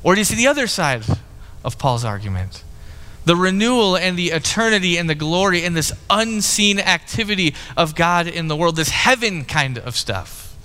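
A man's voice: sits high, very animated, moderate pace, pushed and bright, smooth, long reverb tail. A man in his thirties preaching a sermon in a candid, conversational way, often restarting his sentences. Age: 30s. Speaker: pastor.

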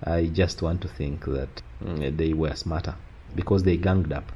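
A man's voice: a bass voice, steady tone, a little slow, warm tone, husky, muffled recording. A man from western Uganda with a Ugandan accent, giving a reflective personal account as an interview guest.

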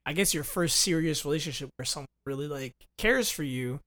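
Co-host role: young American man, fairly high voice, blunt, slangy, loose conversational delivery that circles back on itself. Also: expressive, fairly quick, a bit bright. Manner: slangy, blunt, repeats and rephrases himself. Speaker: podcast co-host. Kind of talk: casual chat.

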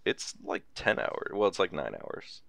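A man's voice: low-pitched, big pitch swings, measured pace, vocal fry, a bit bright, slight background noise. A young man co-hosting a podcast, chatting loosely, joking and swearing casually. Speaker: podcast co-host. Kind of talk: casual chat.

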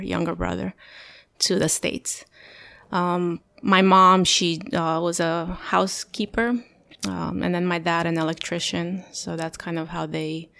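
A woman's voice: on the low side, vocal fry, a bit bright, dry recording. A woman in her thirties from Romania, a guest telling her life story in an interview, hesitant with many ums and uhs. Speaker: guest, former first responder. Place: home.

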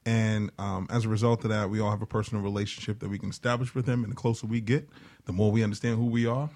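A man's voice: fairly low pitch, flat delivery, quick pace, darkish tone. A man on a panel talking casually and reflectively, often checking in with his listeners. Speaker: panelist on a discussion show.

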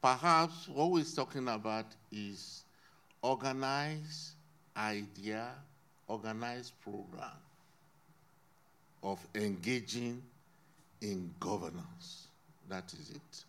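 A man speaking on a discussion panel in a measured, deliberate way, pressing key points with emphatic rises. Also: fairly low pitch, bright, forceful tone. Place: lives in Sweden.

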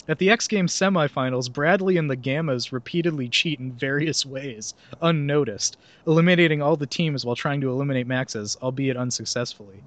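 A man's voice: medium pitch, moderate pace. A young man hosting a comedy podcast, reading a film synopsis aloud and breaking off into laughter and sarcastic asides. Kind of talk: casual comedic podcast chat.

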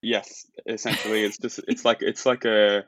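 Low, flat-voiced man from Australia. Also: dry recording. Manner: halting, many restarts and fillers. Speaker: UX designer, podcast guest. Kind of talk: casual interview talk.

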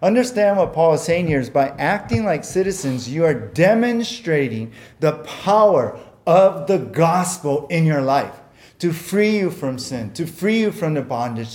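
A man's voice: on the high side, darkish tone. A man preaching a sermon as pastor, earnest and conversational, often repeating words and using fillers, whose first language was Japanese.

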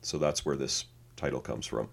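Very low male voice, casual podcast co-host, relaxed, reads from notes, laughs easily. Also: moderate pace, creaky.

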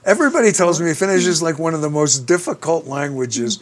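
A man's voice: on the high side, lively intonation, a little fast. A man from the United States hosting a conversational interview, talkative and emphatic, steering with leading questions. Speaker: interview host.

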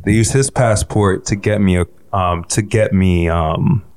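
Deep-voiced Haitian-born man, rambling. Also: fairly even intonation, measured pace, a little mellow. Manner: laid-back, rambling, swears freely, many fillers. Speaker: guest musician. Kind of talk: casual storytelling interview.

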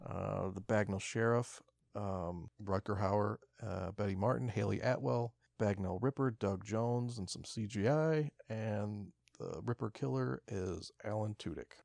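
A man's voice: fairly low pitch, measured pace, creaky, a little mellow, no echo. A man co-hosting a casual podcast, talking in laid-back, folksy slang. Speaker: podcast co-host.

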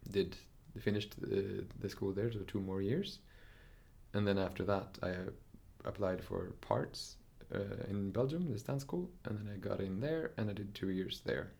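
Low gravelly young man, hesitant. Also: unhurried, darkish tone. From Norway. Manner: thoughtful, hesitant, many fillers and restarts. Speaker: dancer, interview guest. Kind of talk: casual interview talk.

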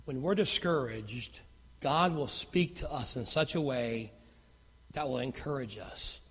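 A man preaching a sermon as pastor, with a naturally loud voice that swings from fervent emphasis to hushed near-whispers. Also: medium pitch, measured pace, slightly rough, long reverb tail.

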